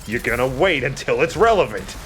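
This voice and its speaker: A man's voice: medium pitch, very animated, a little fast, a bit bright, soft background music. A man giving casual let's play commentary in a playful, self-deprecating way, swearing casually. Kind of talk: casual gameplay commentary with banter.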